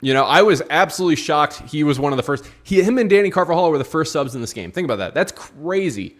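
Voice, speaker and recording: A man's voice: on the high side, animated, fast. A man chatting casually as a football pundit on a talk show, slangy and matey, repeating points for emphasis. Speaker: football show co-host or guest. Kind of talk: casual football analysis chat.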